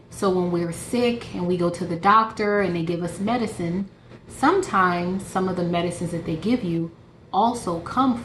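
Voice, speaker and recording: A woman giving a simple, explanatory educational talk for children, as a guest scientist. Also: lowish voice. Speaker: guest marine microbiologist. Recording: roomy echo with background noise.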